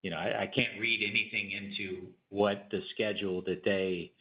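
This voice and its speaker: A man answering questions as an executive on an earnings call, explaining in a measured, unscripted way with repeated words. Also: fairly low pitch, steady tone. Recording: dull, band-limited conference call audio.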